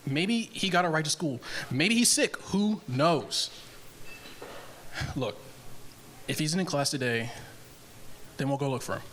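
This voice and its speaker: A man playing a reluctant, flippant young teenage boy with a husky voice and whiny, protesting delivery in a live table read. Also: on the high side, animated, unhurried, long pauses, a bit bright.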